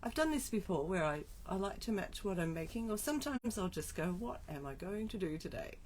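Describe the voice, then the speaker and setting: A woman's voice: mid-range voice, big pitch swings, fairly quick, a little mellow. A woman with a scratchy voice from a cold, chatting casually while she demonstrates card making on a live stream. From Australia. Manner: chatty, asides to herself while setting up. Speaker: craft demonstrator.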